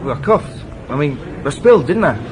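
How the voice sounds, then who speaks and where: High-pitched, cheeky young burglar. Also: male voice, big pitch swings, fairly quick. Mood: glib, cheeky. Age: young adult. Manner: ingratiating, colloquial, glib. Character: cheeky, glib young male petty burglar. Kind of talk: 1980s British radio drama acting. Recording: faintly noisy old radio tape.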